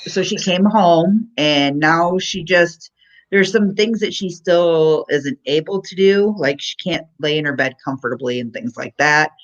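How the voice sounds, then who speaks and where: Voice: medium pitch, a little slow. Casual livestream host with dull, lo-fi audio. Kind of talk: casual chat about family updates. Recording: muffled lo-fi voice-chat audio.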